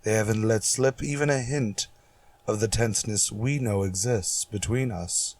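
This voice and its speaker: A man's voice: fairly low pitch, moderate pace, a little mellow, dry recording, natural voice. A man playing a cautious, thoughtful ship's psychologist in a sci-fi radio drama, speaking hesitantly and deferentially. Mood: uneasy, careful.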